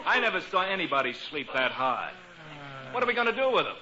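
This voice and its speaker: A man's voice: a little high, sing-song, fairly quick, bright, forceful tone, heavily acted. An actor playing a sly, sardonic warehouse workman and prankster in a 1940s radio comedy. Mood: sly, amused. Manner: sardonic, slangy. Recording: noisy muffled 1940s radio recording.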